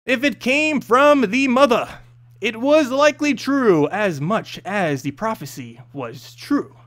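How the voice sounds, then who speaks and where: A man's voice: very high voice, animated, slow pace, a bit bright. A man reading a scripted fantasy story as narrator, expressively, with an occasional stumble over the text.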